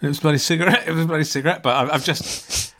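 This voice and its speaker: A man's voice: on the high side, fairly even intonation, racing, sharp tone. Rambling man telling a story. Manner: rambling, self-mocking, restarts often. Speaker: podcast co-host. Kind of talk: comic storytelling chat.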